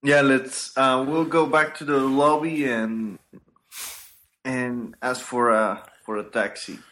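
A man playing a tabletop game, speaking slowly and hesitantly. He sounds careful and cautious. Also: mid-range voice, steady tone, fairly bright tone. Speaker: player. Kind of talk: casual tabletop play.

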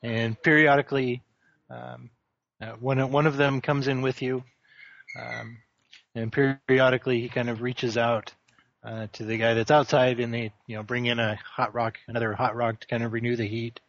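A man running a tabletop game as game master, narrating with many pauses and fillers. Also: medium pitch, little pitch movement, gravelly, fairly bright tone, dry recording. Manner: halting, many ums and uhs. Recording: dry, dull lo-fi call audio.

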